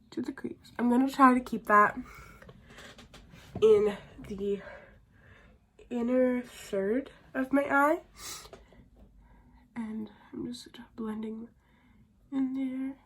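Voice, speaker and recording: A young woman chatting casually through a makeup tutorial, murmuring and trailing off as she works, with a slightly raspy voice from getting over a sickness. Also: fairly high pitch. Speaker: beauty vlogger.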